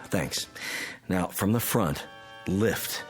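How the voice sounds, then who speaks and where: An actor playing a calm, methodical physicist in a radio drama, measured and giving instructions. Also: male voice, low voice, faint music behind, full character voice. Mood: methodical, instructing. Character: calm, methodical male physicist friend investigating a mystery.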